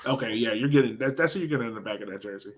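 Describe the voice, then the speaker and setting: A man's voice: medium pitch, rapid-fire. An American man co-hosting a sports podcast, chatting casually and animatedly in slangy phrasing as he breaks down soccer.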